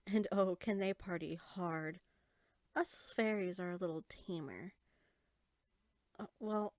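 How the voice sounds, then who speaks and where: A woman playing an inquisitive, friendly young fairy sorcerer in a conversational roleplay audio drama, chatty and eager with soft asides. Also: medium pitch, no room sound, clean studio sound, natural voice.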